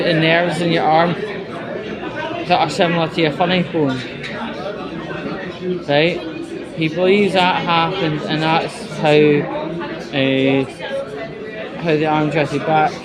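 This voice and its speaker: A man giving a casual personal update to his viewers, speaking in a halting way with emphatic repetitions. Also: on the high side, flat delivery. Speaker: vlogger. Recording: busy indoor public space, crowd noise. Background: loud crowd chatter in a large indoor space.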